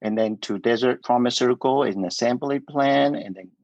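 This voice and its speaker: Middle-aged interview guest from Vietnam, Cantonese first language, hesitant and fillers-heavy, muffled dry audio. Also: male voice, medium pitch, gravelly, a little mellow, no room sound. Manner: halting, many fillers and restarts, light laughs. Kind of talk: casual interview storytelling.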